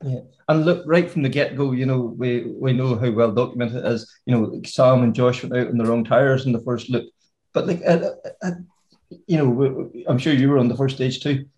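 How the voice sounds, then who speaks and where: A man's voice: medium pitch, fairly even intonation, a little fast, darkish tone, rough recording. A man hosting a podcast in a chatty, upbeat way with plenty of fillers. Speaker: podcast host. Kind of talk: casual podcast chat.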